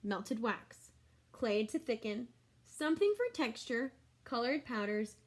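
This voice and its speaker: A woman's voice: a little high, measured pace. A woman reading a children's book aloud like a teacher, expressively, with exclamations and simple explanatory asides for young listeners.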